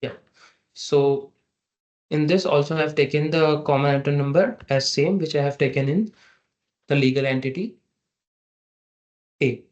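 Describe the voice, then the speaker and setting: A man giving a halting, step-by-step software tutorial as a trainer over an online meeting. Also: fairly high pitch, steady tone. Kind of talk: screen-share software tutorial. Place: online meeting with screen share. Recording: dull, lo-fi online meeting audio.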